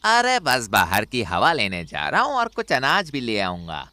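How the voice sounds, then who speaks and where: A man's voice: medium pitch, wildly swooping pitch, measured pace, pushed and bright, full character voice. A man voicing a mischievous, greedy crow in a cartoon story, with an exaggerated, self-satisfied manner. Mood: smug, relishing. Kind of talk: Hindi animated cartoon story acting.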